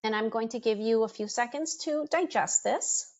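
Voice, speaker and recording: A woman whose first language is Spanish leads an online career session in a quick, chatty coaching voice, dropping in plenty of ums. Her voice sits a bit high for a woman, and it comes through a computer mic on a video call. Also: darkish tone. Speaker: career presenter. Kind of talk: online webinar presentation.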